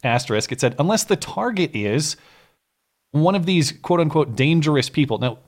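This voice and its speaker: A man hosting a call-in show, chatting casually with callers. His pitch swings up and down as he talks, and he has a wry, sarcastic edge. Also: animated, normal speed.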